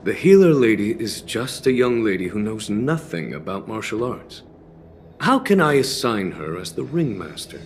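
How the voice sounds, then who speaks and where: Deep-voiced, courteous commander. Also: male voice, sing-song, normal speed, a little hiss or hum. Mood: wry, modest. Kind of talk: dubbed video game cutscene dialogue.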